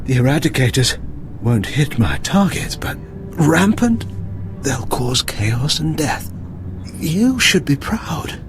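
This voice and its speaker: An actor playing a defiant, grimly fanatical terrorist mastermind in a video game, theatrical and deliberate. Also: male voice, on the high side, big pitch swings, over music, full character voice.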